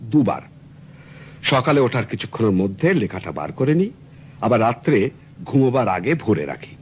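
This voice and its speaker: A man's voice: medium pitch, very animated, moderate pace, a little mellow, lightly acted. Man playing an earnest, chatty client. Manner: courteous, earnest, explanatory storytelling. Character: polite middle-aged male client, fussy and earnest. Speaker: client telling his story. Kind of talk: 1970s radio drama acting. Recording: old radio recording with faint hiss.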